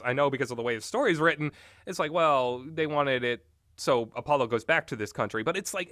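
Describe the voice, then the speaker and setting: A man's voice: fairly high pitch, no room sound, clean studio sound. Rambling man giving casual game opinions. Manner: animated, exasperated asides, acts out imagined quotes. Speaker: video game reviewer.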